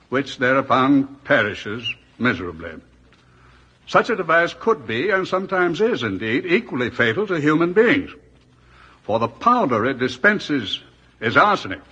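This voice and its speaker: Pompous British superintendent, wordy radio narrator; bright, animated delivery on dull vintage audio. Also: male voice, mid-range voice, husky, heavily acted. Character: pompous police superintendent, custodian of a crime museum. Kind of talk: 1950s radio drama narration. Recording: dull old radio recording.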